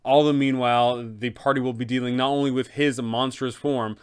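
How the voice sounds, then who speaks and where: A man's voice: medium pitch, fairly even intonation, a little fast, smooth. A man narrating advice for game masters, enthusiastic and speaking straight to viewers. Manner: enthusiastic, addresses viewers directly. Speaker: game master guide host.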